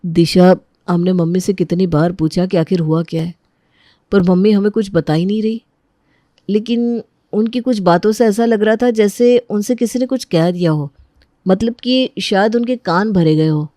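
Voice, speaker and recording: A woman acting an earnest, concerned young husband in a family audio drama, gentle and reasoning. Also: mid-range voice, normal speed, no echo, clean studio sound, close to an everyday voice. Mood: earnest, worried. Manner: earnest, reassuring.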